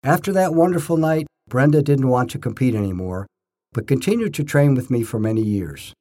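An older man narrating his own scripted first-person stories in short, measured phrases with a storyteller's emphasis. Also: mid-range voice, animated, mellow.

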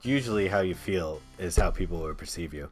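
A man with a low-pitched voice hosts a casual podcast. He chats informally with his co-hosts and has a dry, teasing sense of humour. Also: expressive, a little fast, faint music behind. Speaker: podcast host.

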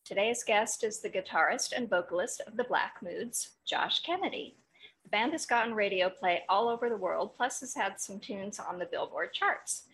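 A woman's voice: mid-range voice, lively intonation, normal speed, a bit bright, rough recording. A woman hosting an interview in a conversational style. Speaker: host. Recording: noticeable background noise.

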